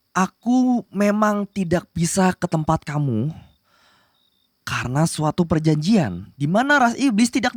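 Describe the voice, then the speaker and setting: A woman's voice: mid-range voice, no room sound, clean studio sound. A woman playing a possessive, lovestruck young male demon prince, bossy yet flustered, in a romantic roleplay spoken to the listener. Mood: earnest, coaxing. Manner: insistent and flustered, pleading between commands. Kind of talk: romantic ASMR roleplay acting addressed to the listener.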